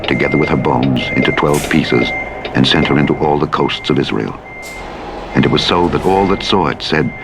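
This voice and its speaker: A man reading scripture as a formal, solemn and measured scripted narration. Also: very deep voice. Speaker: audiobook Bible narrator. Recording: loud truck engine noise, played as radio. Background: truck simulator engine, vehicle sounds, reversing beeps.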